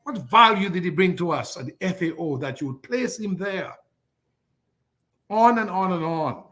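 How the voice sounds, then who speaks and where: A man's voice: sits high, lively intonation, normal speed, fairly bright tone. An older man from Dominica, speaking as a guest on a political talk show in a fiery way, piling up repeated rhetorical lists. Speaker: guest commentator.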